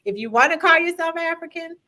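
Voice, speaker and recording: A woman's voice: extremely high pitch, animated, quick pace, sharp tone. A woman hosting a live-streamed talk show, speaking directly to viewers in an upbeat, engaging way. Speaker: host.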